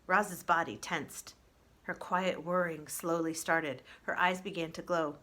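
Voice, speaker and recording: Lady reading a kids chapter book out loud, bright voice, gentle and measured, soft phrase endings. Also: lowish voice, fairly even intonation. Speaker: children's librarian reading aloud. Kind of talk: expressive storybook narration for children.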